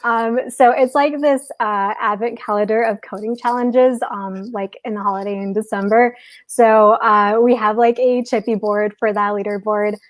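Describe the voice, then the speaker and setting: A woman's voice: medium pitch, steady tone, moderate pace, dry recording. A young woman from Chicago with a Chicago accent, chatting casually as a guest on a livestream video call, giggly and full of "um" and "like". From Chicago, USA. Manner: chatty and giggly, many fillers like "um" and "like". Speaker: guest speaker, software developer.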